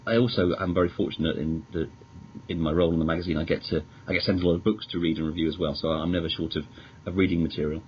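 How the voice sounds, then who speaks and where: A man's voice: sits low, fairly even intonation, racing. A British man chatting casually as an interview guest, talking in a hesitant, rambling but enthusiastic way. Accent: British English. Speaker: magazine editor, podcast guest. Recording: dull lo-fi audio with clear noise.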